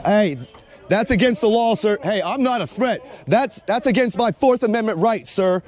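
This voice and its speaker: A man's voice: sits high, normal speed, darkish tone. An American man in his forties narrating footage casually, in a confrontational, sarcastic manner with plenty of swearing. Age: 40s. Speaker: video blogger, police auditor.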